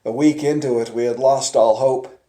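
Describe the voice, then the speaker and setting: A man telling a dramatic first-person story in a theatrical manner, moving between hushed tones and urgent cries. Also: medium pitch, fairly even intonation, normal speed. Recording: echoey, roomy sound.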